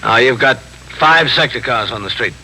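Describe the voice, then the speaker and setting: An actor playing a stern, methodical police captain in a 1950s radio drama, speaking in a measured, official and probing way. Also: male voice, medium pitch, lively intonation, pushed and bright. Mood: probing, businesslike. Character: police captain narrating and questioning his men, stern, methodical. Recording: faintly noisy 1950s radio broadcast.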